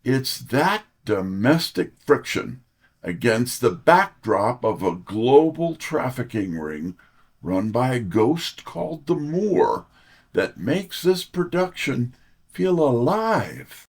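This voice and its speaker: A man's voice: medium pitch, big pitch swings, slow pace. A man giving polished, scripted-sounding interview answers as an author, in articulate, dramatic phrases.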